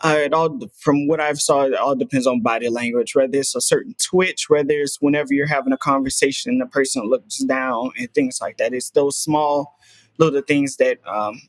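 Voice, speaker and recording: A man's voice: medium pitch, steady tone, moderate pace, dry recording. Young male guest in his twenties; sincere, searching delivery, dry sound. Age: 20s. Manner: earnest, reflective, with frequent fillers and restarts. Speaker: podcast guest. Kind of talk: casual interview conversation.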